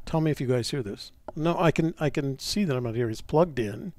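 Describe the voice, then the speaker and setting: A man's voice: mid-range voice, darkish tone, no echo. Stuffy older American man, dry close sound. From New Mexico, United States. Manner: halting, often pausing mid-thought. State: stuffy, getting over a cold. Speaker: live stream host. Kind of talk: casual live chat, rambling.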